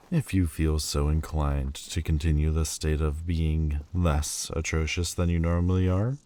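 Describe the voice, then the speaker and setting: A prickly, proud Lord of Demons, played by a man in a fantasy audio roleplay. His voice sits extremely low and he takes his time over every word. He sounds haughty and sardonic, with a grudging tenderness underneath. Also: warm tone. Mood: teasing. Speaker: voice actor.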